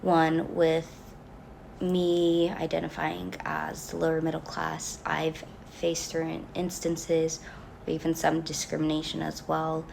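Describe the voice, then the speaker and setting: A young woman, a college student, reading her class project reflection in an earnest, halting way with pauses. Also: sits low, completely flat delivery, creaky, a little mellow, a little hiss or hum. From Mexican family background. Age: young adult.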